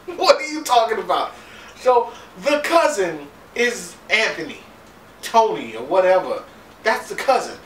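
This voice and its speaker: A man's voice: sits high, very animated, measured pace, fairly bright tone. A man giving a casual comedic recap of a TV episode in an incredulous, laughing, profane way. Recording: roomy, distant mic, faint background noise.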